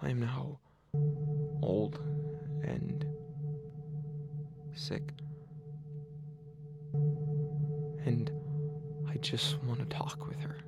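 Man acting a halting, pleading old Syrian father. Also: medium pitch, steady tone, vocal fry, whispered, over loud music. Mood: sad, quiet pleading. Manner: halting, emphatic, repeating phrases, long pauses. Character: pleading seventy-year-old Syrian father, retired teacher, wounded and bewildered. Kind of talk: dramatic phone-call monologue.

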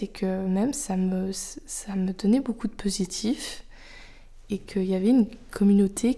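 A young woman hosting a podcast, speaking French as her first language in a personal, reflective monologue. She talks softly and confidingly, thinking aloud as she goes, sometimes dropping almost to a whisper. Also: medium pitch. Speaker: podcast host.

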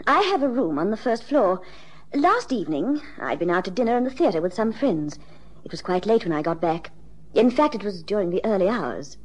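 A woman's voice: medium pitch, big pitch swings, moderate pace, husky, poor audio. An actress playing a genteel, well-to-do woman in her thirties in an old radio drama, proper and a little hesitant. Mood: earnest, explaining.

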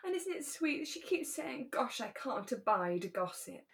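A woman's voice: high-pitched, sing-song, normal speed, creaky. A woman co-hosting a casual podcast about a radio drama, retelling scenes in a giggly way and mimicking the quotes. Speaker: podcast co-host.

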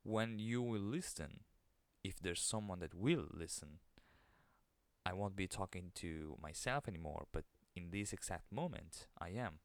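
Low-voiced Italian man, soft and dry. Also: expressive, a little slow, dry recording. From Italy. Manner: kept low and soothing, occasional stutter. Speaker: podcast host. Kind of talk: casual solo monologue. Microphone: podcast microphone.

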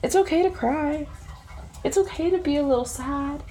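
A woman co-hosting a casual chat, raspy and coughing, talking bluntly and playfully with lots of swearing. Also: sits high, moderate pace, warm tone. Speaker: podcast co-host.

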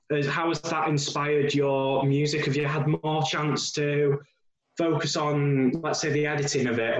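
A man co-hosting a podcast, asking a long, halting question full of fillers and restarts. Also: fairly high pitch, dull audio. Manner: hesitant, rambling questions with many restarts. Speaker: podcast co-host. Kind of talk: interview questions. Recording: roomy echo.

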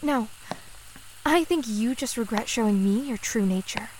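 A woman acting in an audio drama as a menacing mermaid, cool and aloof, with a formal, deadpan delivery. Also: on the high side, normal speed, a little hiss or hum, natural voice, rough recording. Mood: cool, curt.

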